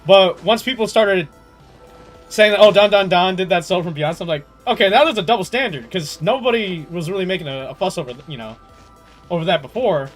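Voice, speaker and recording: A young man chatting casually on a game livestream in an animated, slangy way, swearing freely. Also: high-pitched, a little fast, soft background music. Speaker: game streamer.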